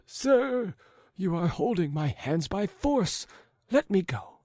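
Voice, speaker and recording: A fretful, high-strung husband, voiced by a man reading, trembling as he talks. His voice climbs high and leaps around, recorded dry. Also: big pitch swings, slow, mellow, no room sound, heavily acted. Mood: indignant.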